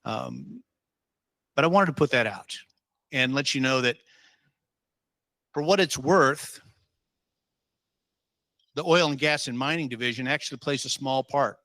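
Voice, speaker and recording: A man's voice: mid-range voice, lively intonation, a little slow, pauses often, bright, forceful tone, no room sound. A man from Utah, a state official leading a public meeting, talking informally with many ums and rambling asides.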